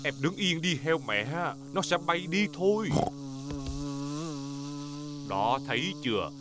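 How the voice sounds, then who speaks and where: Slow, animated cartoon daddy pig. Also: male voice, a little high, very noisy. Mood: confident. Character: jolly, boastful father pig, easily flustered. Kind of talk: Vietnamese-dubbed preschool cartoon acting.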